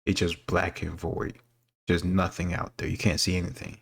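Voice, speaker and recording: A man's voice: low-pitched, completely flat delivery, moderate pace, vocal fry, a bit bright, breathy edge. A man giving casual, off-the-cuff commentary as a video host, thinking aloud and offering his opinions.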